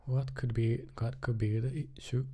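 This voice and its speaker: A man's voice: mid-range voice, flat delivery, normal speed, warm tone. A man live coding in a casual way, thinking aloud and muttering hesitantly to himself.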